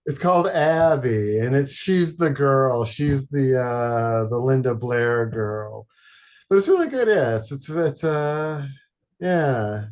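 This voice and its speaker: A man from Detroit, a podcast guest, chatting casually in a halting, rambling way with many fillers and deadpan jokes. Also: mid-range voice. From Detroit, Michigan. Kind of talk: casual chat.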